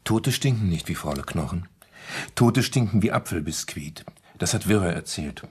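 Radio drama: naive doubter, man's fairly low, husky voice, forever questioning. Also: animated, moderate pace, heavily acted. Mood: doubtful. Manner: questioning, objecting. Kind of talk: German radio play dialogue.

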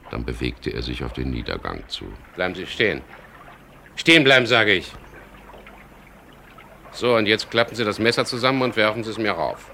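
An actor playing a wry, self-assured boat skipper who narrates a crime story in a 1970s German radio drama. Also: male voice, on the low side, big pitch swings, normal speed, vocal fry, pauses often, sharp tone, exaggerated voice. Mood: commanding, mocking. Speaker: lead actor and first-person narrator. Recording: noisy old radio drama recording.

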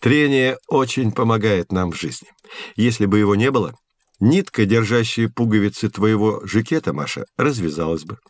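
A man's voice: lowish voice, lively intonation, dry recording, close to an everyday voice. Man playing a patient science mentor, dry studio sound. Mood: encouraging. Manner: explanatory, measured, didactic. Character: patient, learned adult science mentor Vladimir Sergeyevich. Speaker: teacher figure. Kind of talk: scripted educational audio drama.

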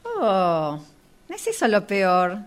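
A woman's voice: mid-range voice, wildly swooping pitch, slow, smooth. A woman reading a weary, sceptical tramp in an amateur radio play.

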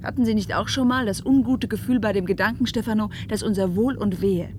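A woman's voice: mid-range voice, a little fast, lightly acted. Actress as headstrong woman over vehicle noise. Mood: sceptical. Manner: earnest, defiant, emphatic. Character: headstrong, questioning woman defying a secretive leader. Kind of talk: German science-fiction radio play acting. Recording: radio play with clear vehicle noise.

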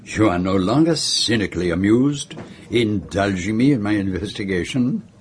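An actor playing a courteous, shrewd Belgian detective with a French-speaking accent, formal and measured, in a radio drama. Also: male voice, fairly low pitch, animated, a little hiss or hum, close to an everyday voice. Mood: measured, probing. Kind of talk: radio drama acting and narration.

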